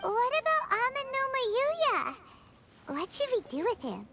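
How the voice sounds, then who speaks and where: Very high, squeaky childlike game fairy. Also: female voice, sing-song, measured pace, bright, forceful tone, no room sound, heavily acted. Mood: earnest, inquisitive. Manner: refers to self in third person, inquisitive. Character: small childlike fairy companion, earnest and squeaky.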